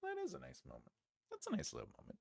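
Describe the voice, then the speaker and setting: A man talks loosely and warmly to his livestream viewers, with a lively, swinging pitch. His speech wanders with fillers and jokes. The sound is dry and close to the mic. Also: rapid-fire, gravelly, no echo. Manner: rambling, joking, many fillers. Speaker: author hosting a writing livestream. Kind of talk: casual chat reading viewer comments.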